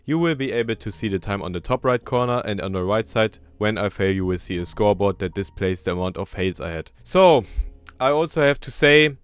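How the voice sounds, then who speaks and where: A man's voice: lowish voice, smooth. German-accented man chatting over gameplay. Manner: chatty, self-deprecating, thinking aloud. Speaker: gaming YouTuber. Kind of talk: casual gameplay commentary.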